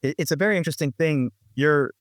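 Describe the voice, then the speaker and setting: A man's voice: on the high side, quick pace, no room sound. Man in his sixties, musician guest, reflective and rambling, restarts often, dry close sound. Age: 60s. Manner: rambling, full of restarts and "you know, like". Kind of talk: reflective conversational interview.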